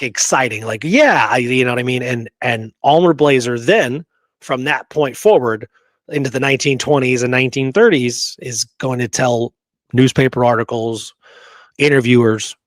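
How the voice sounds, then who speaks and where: A man's voice: medium pitch, moderate pace, no room sound. A man casually telling and discussing history as a show co-host, emphatic and often checking in with "right?".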